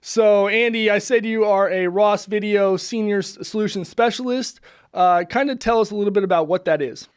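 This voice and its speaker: A man's voice: high voice, normal speed, clean tone. A man hosting a podcast, upbeat and friendly in casual interview chat, with frequent fillers. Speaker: podcast host. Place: studio.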